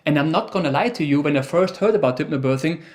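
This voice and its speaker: A man's voice: fairly high pitch, fast talker, darkish tone. A man with an Austrian accent, presenting an educational video as the science expert, with dry, teasing humor.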